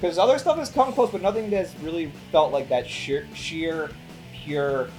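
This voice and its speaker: A man's voice: fairly high pitch, moderate pace, darkish tone, faint music behind. A man co-hosting a casual, conversational film review show. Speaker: co-host of a movie review show.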